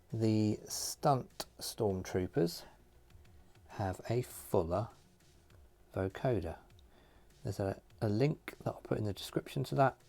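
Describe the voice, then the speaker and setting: Low-voiced man giving a craft tutorial. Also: vocal fry, mellow. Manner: conversational, explanatory, thinking aloud as he works. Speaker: host of a hobby craft tutorial. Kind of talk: casual, unscripted how-to commentary while painting. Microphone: phone recording.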